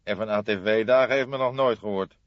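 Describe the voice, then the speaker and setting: A man's voice: fairly low pitch, a little fast, no echo, lo-fi sound, close to an everyday voice. A man voicing a grey-haired old police detective in an audiobook, authoritative and emphatic, with dry humour. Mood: drily witty.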